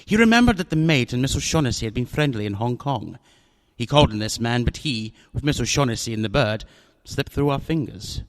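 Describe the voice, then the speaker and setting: An actor in a radio drama playing a pompous, jovial, cunning older crime boss who talks in a courtly, florid, theatrical way. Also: male voice, mid-range voice, lively intonation, fairly quick, natural voice. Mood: matter-of-fact.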